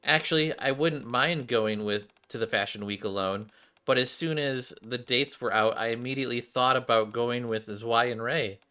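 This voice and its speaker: A man's voice: medium pitch, moderate pace, bright, forceful tone, lightly acted. A man voicing a shy, earnest schoolgirl who loves fashion, in an anxious, hesitant game-dialogue read. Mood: earnest, fond.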